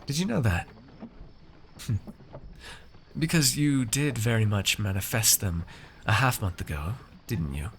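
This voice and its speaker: A man's voice: on the low side, animated, a little slow, pauses often, a little hiss or hum. A man playing a haughty, teasing, possessive noble master in an ASMR roleplay, with formal, drawling, condescending theatrical diction. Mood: menacing, teasing.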